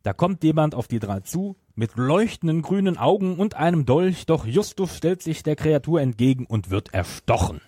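A man's voice: a little high, big pitch swings, moderate pace, hardly any pauses, warm tone, breathy edge, dry recording, studio quality. A man hosting a podcast, retelling a story from notes and at times searching for words. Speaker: podcast host.

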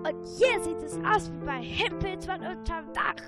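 A woman's voice: extremely high pitch, animated, unhurried, loud music behind the voice. A young female performer playing a grumbling, skeptical worm who scolds, in a children's radio play. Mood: scolding.

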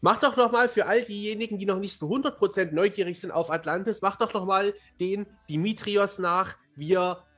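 A young man with a high-pitched voice, talking as a podcast guest. He tells stories casually in long, articulate, playful sentences, with faint music in the background. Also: brisk, hardly any pauses, a bit bright. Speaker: podcast guest, fantasy author. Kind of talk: casual, talkative storytelling.

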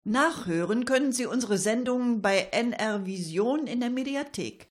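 A woman's voice: on the high side, expressive, normal speed. A woman hosting a radio show, reading scripted satirical announcements. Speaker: radio host.

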